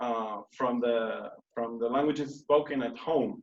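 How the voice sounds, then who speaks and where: A man's voice: mid-range voice, normal speed. A man hosting an English-language interview over a call, chatty and full of fillers. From Argentina. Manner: chatty, many fillers and restarts. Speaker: radio host. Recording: roomy, distant, muffled call audio.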